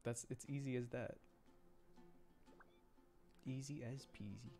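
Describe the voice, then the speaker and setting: A man's voice: medium pitch, steady tone, slow pace, warm tone. A man chatting casually as he livestreams a game, giggly and easily amused as he reacts. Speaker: livestreamer, VTuber host. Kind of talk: casual game stream chat, reacting to play and chat. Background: game music.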